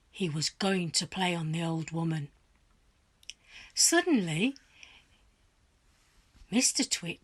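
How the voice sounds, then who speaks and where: A woman reading a children's storybook aloud in a dramatic, deliberate narrating style. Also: on the low side. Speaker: school staff member reading aloud.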